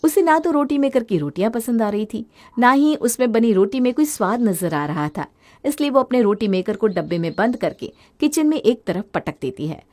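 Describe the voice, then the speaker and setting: High-voiced woman narrating a story. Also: very animated, moderate pace, mellow. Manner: addresses listeners directly. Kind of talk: scripted moral story narration.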